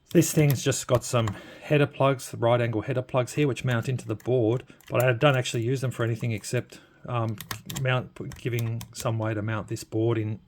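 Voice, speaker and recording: A man giving a casual, unscripted hands-on walkthrough, haltingly thinking aloud as he points things out. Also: on the low side, a little mellow. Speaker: presenter showing his radio modifications. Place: indoors at an electronics workbench.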